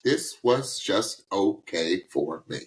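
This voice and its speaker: Animated man hosting a podcast. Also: sits low, slow pace. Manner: animated, emphatic, informal, repeats words for emphasis. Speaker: podcast host. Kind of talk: casual reaction podcast talk, giving opinions.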